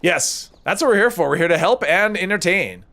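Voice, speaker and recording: A man with a somewhat high voice and a gravelly edge. He chats casually with viewers while playing a game on a live stream, answering their questions as he goes. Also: lively intonation, normal speed, pushed and bright. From United States. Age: older than high school, adult.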